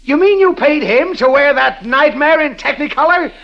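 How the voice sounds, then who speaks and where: An actor in a 1930s radio comedy playing a blustering, sarcastic, middle-aged company boss with a cutting, emphatic delivery. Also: male voice, very high-pitched, lively intonation, moderate pace, gravelly, fairly bright tone, exaggerated voice. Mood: indignant, threatening. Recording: vintage 1930s radio broadcast.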